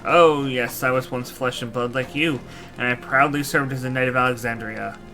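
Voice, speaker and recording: A man's voice: mid-range voice, steady tone, normal speed, pushed and bright. Guy doing an old robot knight from a fantasy game, solemn and formal, olde-timey wording, music under it. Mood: courtly, patient. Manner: formal, archaic, solemn storytelling. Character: courtly old knight reborn in a mechanical body. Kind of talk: fantasy game character dialogue. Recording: music behind the voice.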